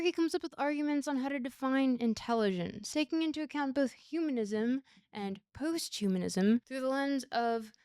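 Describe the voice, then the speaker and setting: A woman's voice: high-pitched, a little mellow, no echo, studio quality. A woman presenting a casual scripted video essay with a wry, slangy and emphatic delivery.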